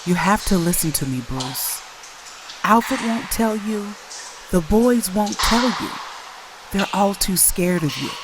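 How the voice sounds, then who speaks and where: An actress as a glamorous, earnest woman in love in a comic book drama. She speaks slowly at a middling pitch, over clear background noise. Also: expressive. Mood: earnest, pleading. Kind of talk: motion comic voice acting.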